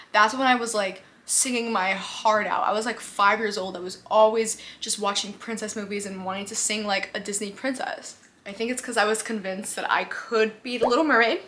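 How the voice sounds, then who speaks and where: A young woman from the United States answers viewer questions straight into her camera. Her voice is bright and sits a little high, and her delivery is chatty and upbeat, with easy laughs and plenty of "like". It sounds like relaxed vlog talk. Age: 20s. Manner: chatty and upbeat, laughs easily, says "like" often. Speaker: vlogger. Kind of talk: casual Q&A vlog. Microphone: camera mic, talking to camera.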